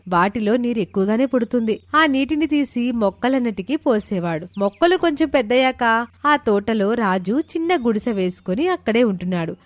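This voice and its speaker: A woman's voice: a little high, no room sound. A woman narrating a scripted moral story as a storyteller.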